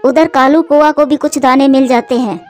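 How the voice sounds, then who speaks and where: A woman reads a scripted children's cartoon story as its narrator. Her voice is high, with faint music underneath. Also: fairly even intonation, moderate pace, a little mellow.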